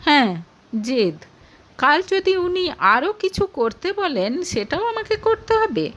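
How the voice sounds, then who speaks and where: A woman's voice: very high-pitched, sing-song, takes it slowly, fairly bright tone, voice pushed up, heavily acted. A woman narrator voicing a hurt, defiant young woman in an audio story, challenging with pointed, indignant questions. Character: hurt, defiant young Bengali woman standing her ground.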